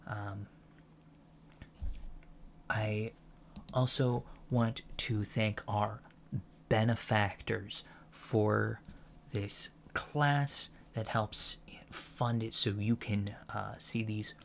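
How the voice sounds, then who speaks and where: Soft-spoken, creaky low-voiced alien lecturer played by a man. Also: steady tone, a little hiss or hum, exaggerated voice. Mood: gently formal. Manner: hesitant, gently formal, deliberate pauses. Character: male alien college lecturer on human studies, earnest and measured.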